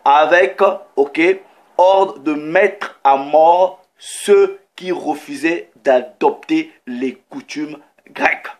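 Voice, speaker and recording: A man preaching in French, reading scripture aloud and stopping often to check in with listeners and comment. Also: a little high. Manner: reads scripture slowly, breaks in with "ok" and comments. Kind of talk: sermon with Bible reading.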